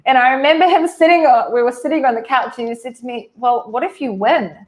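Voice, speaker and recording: A woman's voice: high-pitched, fast. Chatty New Zealand woman in her thirties. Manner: chatty, frequent "like" fillers and restarts, laughs easily. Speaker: interview guest. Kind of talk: casual conversational storytelling.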